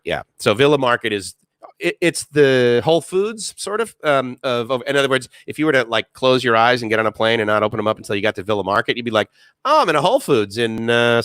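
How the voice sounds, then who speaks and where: A man's voice: mid-range voice, fairly bright tone, dry recording. A man, hosting a casual live stream, rambling with many fillers and reading chat comments aloud.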